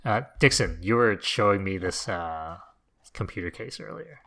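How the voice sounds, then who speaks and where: A man's voice: fairly low pitch, animated, normal speed, creaky, sharp tone. A man talking casually as a co-host on a podcast. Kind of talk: casual chat.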